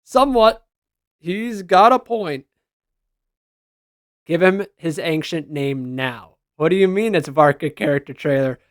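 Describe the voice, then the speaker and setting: A man's voice: a little high, unhurried, pauses often, no echo, studio quality. A man giving casual, slangy reaction commentary as a gaming content creator, responding aloud to what he reads.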